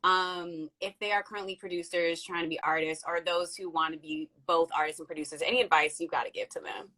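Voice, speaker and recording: A woman's voice: lowish voice, fairly even intonation, brisk, bright, forceful tone, low-quality recording. A young woman hosting a casual interview over a video call from a room at home, upbeat, chatty and playful.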